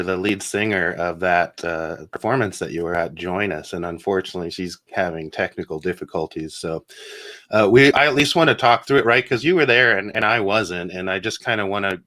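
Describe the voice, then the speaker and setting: Deep, bright-voiced American man. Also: brisk. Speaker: product manager. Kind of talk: casual unscripted discussion.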